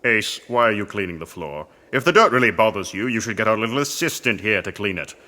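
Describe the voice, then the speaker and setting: A pompous, idle archaeologist played by a man in comic audio theatre. He grumbles fussily in a slightly low but sharp, forceful voice. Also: expressive, fast talker. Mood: indignant. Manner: fussy, self-important.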